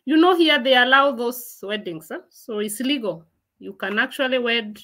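A woman in her forties hosts a laid-back livestream from home, reading viewer comments aloud and replying between bursts of laughter. Her voice is high and bright with a forward ring, and it is picked up by a laptop mic at arm's length. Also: normal speed. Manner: chatty, laughs often, reads comments aloud and answers them. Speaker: livestream host. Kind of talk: casual livestream chat answering viewer comments. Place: room at home, indoors.

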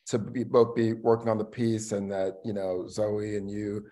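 A middle-aged man speaking casually in a panel conversation, halting and reflective, trailing off between phrases. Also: lowish voice, little pitch movement, warm tone. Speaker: actor and theatre artistic director. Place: indoor room at home, video call. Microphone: video call microphone.

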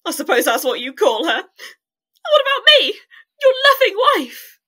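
Dramatic woman, high-pitched, bright and sharp, melodramatic, film comedy. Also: animated, slow, slightly rough, heavily acted. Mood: jealous, scolding. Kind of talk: dubbed film comedy acting.

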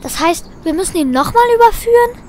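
A woman's voice: very high-pitched, lively intonation, fairly quick, a little mellow, slight background noise. A woman playing an easygoing, eager and naive young boy detective in an audio drama.

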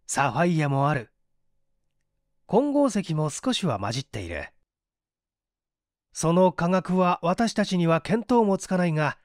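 A man reading a story aloud as an expressive, scripted literary narration. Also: on the high side, no echo, studio quality. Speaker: audiobook narrator, stage actor.

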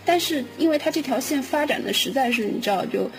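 A woman's voice: high voice, animated, fast, poor audio. A woman explaining things in a casual, conversational way. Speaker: audio drama scriptwriter. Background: background music.

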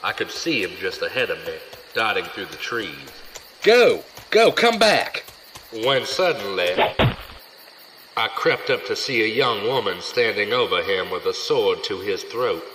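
A man playing a smooth-talking rogue's buddy in an audio drama, telling his tale earnestly and dramatically. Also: on the low side, sing-song, a little slow, background noise. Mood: calling out. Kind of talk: audio drama acting, storytelling.

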